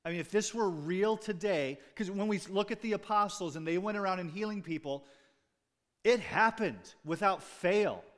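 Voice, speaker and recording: A man preaching a sermon as pastor, talking conversationally with asides and jokes to his congregation. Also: high voice. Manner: restarts and fillers, speaking off the cuff.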